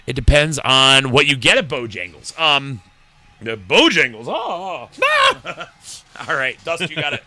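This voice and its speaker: A man's voice: medium pitch, sing-song, measured pace, a crowd in the background. A man who grew up in New York, co-hosting a live stream and chatting casually with viewers.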